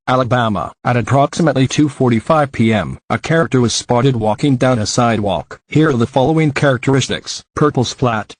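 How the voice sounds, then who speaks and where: Male alert-system announcer, medium pitch, stiff synthetic formal read, dry sound. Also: no echo. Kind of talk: scripted emergency broadcast announcement.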